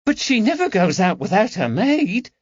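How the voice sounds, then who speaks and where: A man's voice: high voice, very animated, normal speed, breathy edge, dry recording, voice pushed up, exaggerated voice. A man voicing a timid, hesitant nineteen-year-old lady's maid who speaks in plain working-class talk. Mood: anxious. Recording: dull, dry audiobook recording.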